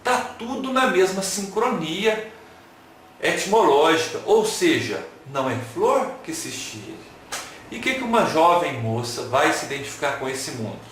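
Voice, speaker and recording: A man's voice: a little high, normal speed, a little hiss or hum. Guy lecturing, joking around, asks the crowd stuff, lots of echo. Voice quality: animated, playful, asks the audience questions. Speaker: lecturer at an online talk. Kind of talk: lively lecture with jokes and rhetorical questions. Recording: very echoey room.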